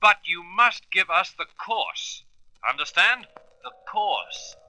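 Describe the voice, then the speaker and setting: An actor playing a daring, commanding space adventurer in a radio serial, earnest and emphatic. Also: male voice, sits high, animated, slow pace, sharp tone, no echo, faint music behind, full character voice, rough recording. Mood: stern, commanding.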